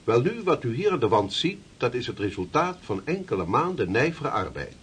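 An actor playing a courteous, pious, learned gentleman in a historical radio drama, speaking formally and deliberately. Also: medium pitch, very animated, a little hiss or hum. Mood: firm, explaining.

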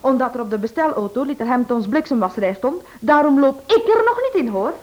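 A woman's voice: sits high, big pitch swings, a little fast, darkish tone, faint music behind. A woman playing a nosy, pouting, playful wife in a radio drama, with a melodramatic manner. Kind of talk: Dutch-language radio drama acting. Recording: dull old recording with clear noise.

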